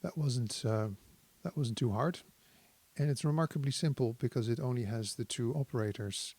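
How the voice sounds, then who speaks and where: A man giving a casual technical tutorial, thinking aloud in a hesitant, halting, analytical way. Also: medium pitch, darkish tone, breathy edge, no echo. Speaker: synthesizer demonstrator.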